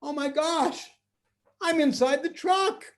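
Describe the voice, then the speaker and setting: A man doing a scared, stammering little boy. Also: slow pace. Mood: worried. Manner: high, anxious, stammering. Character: frightened little boy Jacques.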